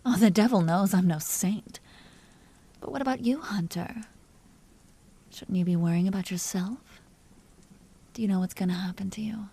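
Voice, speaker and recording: A woman playing an elegant vampire duchess in an audio roleplay, speaking softly with formal, languid, teasing courtesy and an amused, predatory air. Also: mid-range voice, a little slow, long pauses, slightly rough. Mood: amused, taunting. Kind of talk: dramatic roleplay audio performance.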